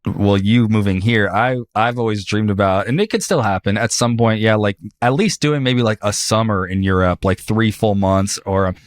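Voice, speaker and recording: A man hosting a podcast interview, talking in a rambling, halting way with many fillers. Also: fairly low pitch, no room sound, clean studio sound. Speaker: podcast host. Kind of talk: casual interview chat.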